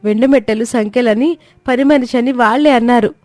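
A woman's voice: on the high side, a little fast, darkish tone, no echo, lightly acted. A woman voicing a hurt young daughter-in-law from a poor family, earnestly pleading in a dramatised moral story. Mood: desperate pleading.

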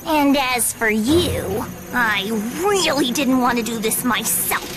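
Scheming teenage villainess, bratty, sneering, lively swoops, cartoon game, music behind. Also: female voice, fairly high pitch. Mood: annoyed, menacing. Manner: sneering, drawn-out sarcasm. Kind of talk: cartoon video game acting.

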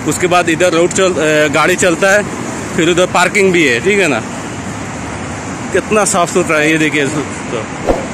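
A man's voice: on the high side, moderate pace, long pauses. A man in his thirties vlogging on a city street at night, talking to viewers through a phone held at arm's length, chatty and repetitive. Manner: chatty, repeats "thik hai na", addresses viewers as friends. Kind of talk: walking city vlog. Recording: loud street and traffic noise. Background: traffic and street noise.

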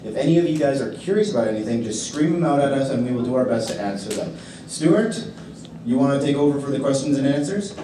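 A man's voice: mid-range voice, expressive, quick pace. A man on a convention panel talking upbeat and jokey to the audience. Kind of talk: casual panel talk. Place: large convention hall room. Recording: echoey hall from far off, background noise.

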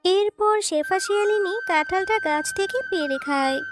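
A woman's voice: very high-pitched, moderate pace. A woman narrating a scripted children's fairy tale as storyteller. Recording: children's music behind the voice.

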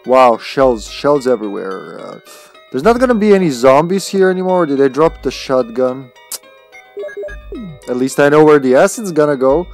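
Low-key streamer, man, music behind. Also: animated, moderate pace. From Serbia. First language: Serbian. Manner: rambling, playful, sweary. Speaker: video game livestreamer. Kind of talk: casual live gameplay commentary with chat.

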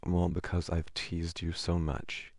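Flustered, affectionate boyfriend played by a man for ASMR audio roleplay: hesitant, creaky, close and dry. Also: deep voice, monotone, dry recording. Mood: flustered, embarrassed. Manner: hesitant, halting, wordy.

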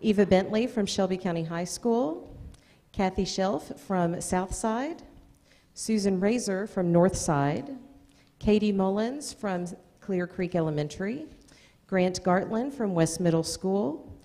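Middle-aged woman, American accent, soft-edged warm tone, podium announcer at a public meeting, long room reverb. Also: medium pitch, lively intonation, slow. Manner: reads out names, with informal asides. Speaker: school district presenter. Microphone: gooseneck podium microphone.

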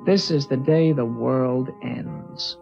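A man's voice: mid-range voice, slow pace, creaky, darkish tone, soft background music. An actor playing a thoughtful, earnest teenage boy who narrates a 1950s radio drama, from a dull old recording. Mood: somber. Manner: earnest, reflective, somber.

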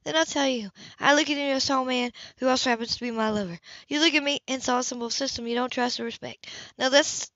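A woman voicing a proud, fiery female social worker, sharp and indignant, in an audiobook romance. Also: sits high, quick pace, no echo, muffled recording. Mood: hurt, guarded.

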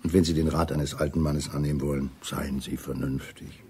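Deep-voiced, smoothly menacing older official. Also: male voice, little pitch movement, a little fast, darkish tone. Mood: smooth veiled threat. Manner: suave, condescending, chuckling menace. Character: older British intelligence official, smooth, veiled menace, condescending. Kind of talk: German radio drama acting.

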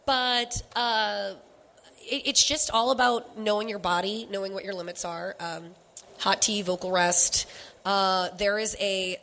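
A young woman with a bright, forceful voice chats on a convention panel. She talks a lot, laughs often and tosses in quick asides. She speaks into a handheld microphone in an indoor room. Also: mid-range voice, fairly even intonation, slight background noise. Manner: chatty, laughing, fast asides. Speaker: voiceover actor and singer on a panel. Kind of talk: casual panel talk.

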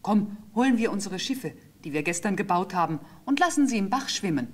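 A woman's voice: mid-range voice, expressive, brisk, lightly acted. An actress playing a devoted, agreeable little boy in a fairy-tale radio play, with a childlike manner. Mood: playful, fond.

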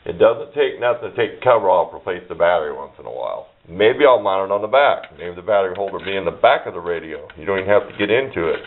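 A man's voice: low-pitched, lively intonation, quick pace, hardly any pauses, slight background noise. A middle-aged man chatting casually and unscripted as he shows his hobby collection, in a folksy, plainspoken, rambling way. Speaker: hobbyist showing his radio parts collection. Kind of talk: casual unscripted show-and-tell. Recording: dull lo-fi camera microphone.

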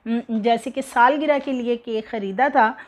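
Young woman, Pakistani Urdu accent, news commentary, conversational with fillers, higher voice. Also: measured pace. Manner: conversational, many fillers, indignant. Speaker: news presenter. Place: indoor living room with TV behind.

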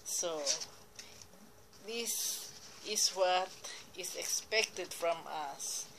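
A woman reading Bible verses aloud and explaining them in a slow, deliberate way, now and then correcting herself, recorded on a phone pointed at a computer screen. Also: fairly low pitch, noisy background. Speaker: lay preacher. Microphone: phone camera filming a computer screen.

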